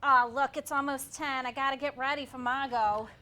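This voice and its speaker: An actress playing an eager woman hairstylist in a staged comedy reading, with theatrical, expressive delivery. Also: sits high, moderate pace, background noise, natural voice. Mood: wry, teasing. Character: Betty, eager woman hairstylist feigning a posh British accent.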